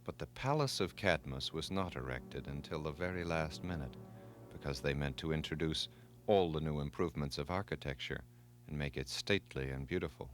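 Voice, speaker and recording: A man narrating a scripted myth for children in a formal, measured, dramatic storytelling manner. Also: extremely low pitch, slight background noise.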